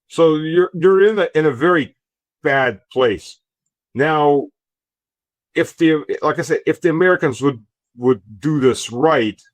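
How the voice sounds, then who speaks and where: A man giving informal political analysis as an interview guest, speaking haltingly with frequent hesitations. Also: medium pitch, dry recording.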